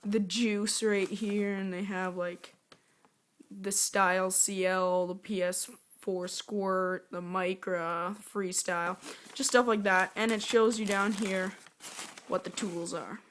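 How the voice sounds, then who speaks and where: Woman, scratchy sore-throat voice, steady monotone, chatty rambling unboxing vlogger. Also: mid-range voice, takes it slowly. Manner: rambling, chatty, self-aware. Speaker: product reviewer. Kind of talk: casual unboxing review.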